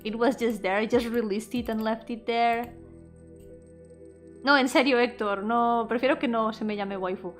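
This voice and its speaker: Girly-high woman streamer just chatting, giggly n gushing, repeats herself, soft music in the bg. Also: steady tone, normal speed. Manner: giggly, effusive, often trailing off and repeating words. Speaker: VTuber streamer and indie game developer. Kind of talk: casual livestream chat. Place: indoor solo livestream with music behind the voice.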